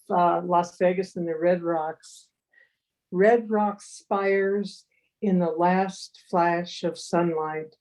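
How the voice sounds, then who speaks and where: Woman, haiku poet, slightly low pitch, soft dark tone, casual remarks and verse reading. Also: rough recording.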